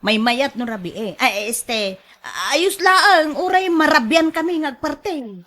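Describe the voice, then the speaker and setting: Animated, bright-voiced Ilocano woman acting in radio drama. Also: high voice, measured pace. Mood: coaxing.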